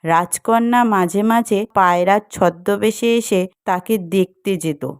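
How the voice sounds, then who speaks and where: A woman's voice: medium pitch, unhurried, clear, resonant tone, no echo, studio quality. A woman reading scripted fairy-tale narration as the storyteller of a cartoon. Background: music.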